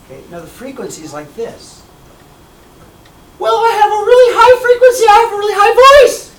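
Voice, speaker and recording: A man teaching a math class, lively and repetitive, often checking in with "okay?" and "right?". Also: very high-pitched, normal speed, low-quality recording. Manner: energetic, repeats key points, asks "okay?" and "right?" often. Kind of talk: classroom lecture.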